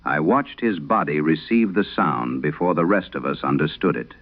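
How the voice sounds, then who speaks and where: Man playing grave, deep-voiced aging priest exorcist. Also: lo-fi sound. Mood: grave, haunted. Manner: grave, measured, solemn. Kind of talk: dramatic first-person horror radio storytelling.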